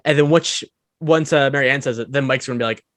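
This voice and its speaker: A man co-hosting a podcast who tells stories in a relaxed way, acting out quoted asides. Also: medium pitch, fast, no room sound. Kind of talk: casual podcast chat.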